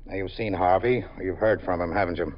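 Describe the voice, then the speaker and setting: A man's voice: low-pitched, fast, close to an everyday voice. An actor playing a stern male police lieutenant who questions a suspect curtly, in 1950s radio drama style. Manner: curt, firm questioning. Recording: dull old radio broadcast.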